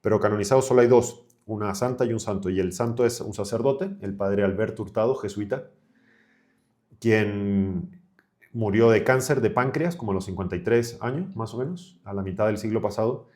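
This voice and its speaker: A man's voice: fairly low pitch, moderate pace, darkish tone. A man of thirty-five from Chile, a priest talking colloquially as a podcast guest. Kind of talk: conversational podcast interview.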